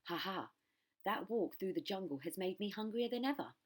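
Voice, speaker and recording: A woman voicing a huge, sly, greedy crocodile in a children's storybook, gloating over every drawn-out word. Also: mid-range voice, expressive, voice pushed up, close to an everyday voice. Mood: scheming. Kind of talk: children's storybook read-aloud.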